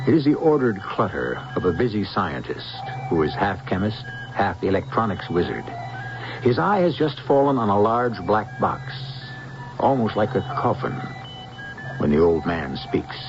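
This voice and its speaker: Very deep-voiced radio mystery narrator. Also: male voice, sing-song. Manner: grave, deliberate, theatrical. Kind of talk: dramatic scripted narration. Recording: muffled vintage radio recording under music.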